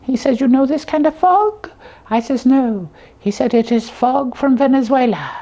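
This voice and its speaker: A woman's voice: high-pitched, expressive, normal speed, mellow. A woman voicing a hungry young talking slipper who plays detective, telling the tale with pompous earnestness in a comic puppet show. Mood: boastful.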